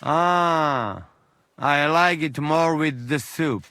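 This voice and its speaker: A crude, teasing rogue from a historical video game. His voice is high and bright, and he takes his time over his irreverent jibes. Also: drawn-out words, no room sound, full character voice. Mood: amused. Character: crude, mocking Slavic rogue named Janosch, joking troublemaker.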